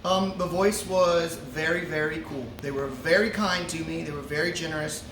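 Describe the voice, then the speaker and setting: A relaxed male musician chats through fan questions, joking and stumbling over fillers. He stands a little back from the mic, and his voice rings around a roomy brewery with a steady hum of noise behind him. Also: on the high side, normal speed. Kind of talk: casual Q&A chat. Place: brewery before a show. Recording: roomy echo, from a distance, ambient noise.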